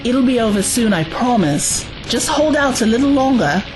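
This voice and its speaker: A woman's voice: fairly high pitch, lively intonation, normal speed, over music, natural voice, poor audio. A woman acting in an audio drama as a resolute, reassuring young adventurer, with urgency in her delivery.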